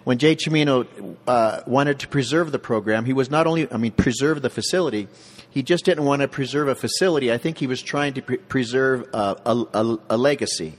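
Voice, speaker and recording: Earnest local man, mid-pitched, interview chat, long reverb on the recording. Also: brisk. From Southern Colorado. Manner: earnest, restarts words often. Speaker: community center staff. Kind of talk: casual interview conversation.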